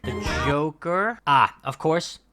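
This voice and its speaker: A man's voice: on the high side, lively intonation, slow, sharp tone. A man whose first language is Danish, hosting a casual music reaction and talking in a rambling way with many fillers and restarts. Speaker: reaction video host.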